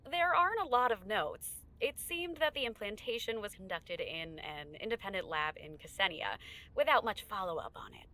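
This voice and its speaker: A woman acting in an audio drama as a poised tech research lead, speaking formally and in a measured, clinical way. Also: medium pitch, animated, pushed and bright, low-quality recording. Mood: clinical, guarded.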